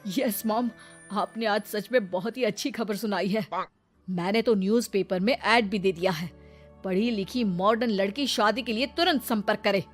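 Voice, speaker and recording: A woman's voice: medium pitch, normal speed, lightly acted. Female voice actor as smug modern young son, casual cartoon-story dialogue with background music.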